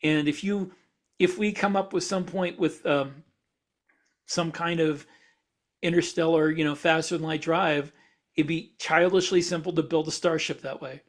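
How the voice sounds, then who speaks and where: A man co-hosting a podcast, explaining ideas in casual discussion and often restating phrases in a halting way. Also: fairly high pitch, steady tone. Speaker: podcast co-host.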